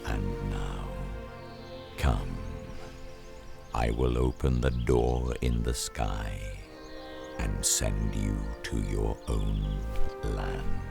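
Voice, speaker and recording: An actor playing a majestic, solemn talking lion in a radio drama, speaking slowly with grave tenderness in a gravelly voice. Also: male voice, rumbling low, steady tone, loud music behind the voice, heavily acted. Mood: solemn, tender. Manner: slow, deliberate, grave.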